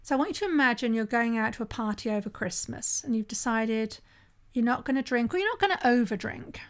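A woman's voice: fairly high pitch, lively intonation, a little fast. A middle-aged woman hosting a solo podcast in a conversational way, often checking in with "right?". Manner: conversational, often asks "right?", occasional restarts. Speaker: podcast host and sober-living coach.

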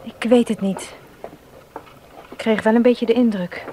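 A woman's voice: on the high side, fairly even intonation, unhurried, slight background noise, natural voice. An actress playing a kind woman who runs a nursery school, offering help, in a 1970s radio play. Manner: gentle, reassuring.

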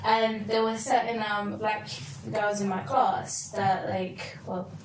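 A woman's voice: medium pitch, slow pace, echoey room, far from the mic, a little hiss or hum, low-quality recording. A young woman, telling a school story in a casual, filler-heavy way. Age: young adult. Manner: animated storytelling, lots of likes. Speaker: guest. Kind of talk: casual group chat. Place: living room, indoors.

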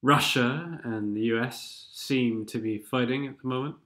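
A man with a blocked-up nose talks over game replays at an unhurried, drawn-out pace. His voice sits at an ordinary pitch, and his commentary rambles in a dry, sardonic way. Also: a bit bright. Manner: sardonic, rambling, sings snatches of songs. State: stuffed-up nose from a cold. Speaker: gaming commentator.

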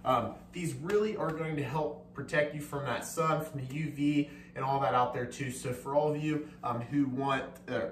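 An enthusiastic young man in his twenties reviews a product in a home kitchen, talking casually with plenty of "um"s while moving about. His voice sits a little high and carries an echo, picked up by a camera several steps away. A faint hum of room ambience stays underneath. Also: a bit bright. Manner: upbeat, many fillers, moves around while demonstrating. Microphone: camera mic from across the room. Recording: roomy kitchen, voice from a distance.

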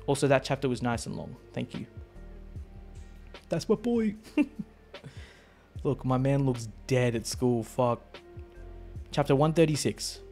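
A man in his thirties streaming a manga reaction, rambling and swearing casually into a close boom mic. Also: a little mellow. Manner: rambling, swearing, laughing often. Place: home streaming room.

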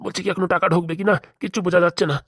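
Woman acting a low-voiced, fretful male lawyer. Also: steady tone, very fast, no room sound, studio quality, close to an everyday voice. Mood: anxious. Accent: rural Bengali. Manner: pleading, fretful, stammering. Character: timid, whining, anxious male lawyer. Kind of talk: comic cartoon dialogue.

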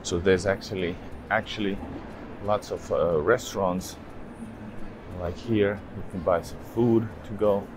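A man's voice: low voice, fairly even intonation, unhurried, noisy background. A man in his thirties walking through a railway station, filming himself at arm's length and talking casually to viewers with many fillers. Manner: casual, thinking aloud with many fillers, addresses viewers as guys. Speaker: travel vlogger. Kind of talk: walking travel vlog. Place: outdoors at a city railway station, then inside a large station hall. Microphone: handheld camera at arm's length.

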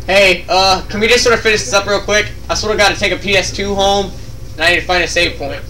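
A young man with a high, bright voice plays a video game with a friend. He jokes crudely and grumbles in exasperation. The mic is at a distance, with faint noise and game sound behind him. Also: fairly even intonation, quick pace. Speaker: let's play commentator. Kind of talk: casual gaming commentary with a friend.

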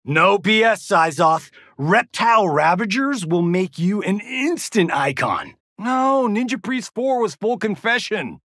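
A man's voice: high voice, lively intonation, unhurried, few pauses, fairly bright tone, no echo, studio quality, exaggerated voice. An actor playing a cocky, wisecracking male Hollywood action star in a fighting video game, with a smug, flippant, showboating delivery. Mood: teasing, flippant.

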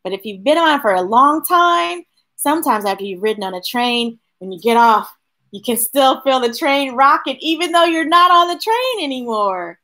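A middle-aged woman reading a picture book aloud to children, expressive, with chatty asides to her young listeners. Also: high voice. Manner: expressive, drawn-out delivery addressing young listeners. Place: small indoor room with bookshelves.

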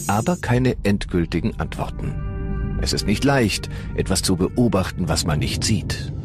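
Low-voiced male documentary narrator. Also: lively intonation, normal speed. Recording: music bed under the voice.

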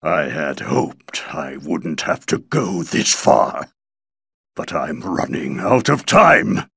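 Game villain: scheming old wizard, gravelly, wildly swooping delivery, menacing. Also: male voice, extremely low pitch, sing-song, a bit bright, no echo, clean studio sound, full character voice. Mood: grim resolve. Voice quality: gravelly, raspy. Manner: slow, menacing, brooding. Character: scheming old male wizard hungry for forbidden magic. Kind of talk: video game villain acting.

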